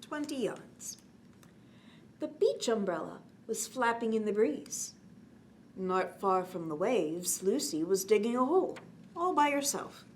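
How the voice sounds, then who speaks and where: A woman hosting a children's storytime, reading a bedtime story aloud in an expressive manner and talking gently to young listeners. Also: medium pitch, unhurried. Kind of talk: read-aloud bedtime story with chatty asides. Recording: clearly noisy background.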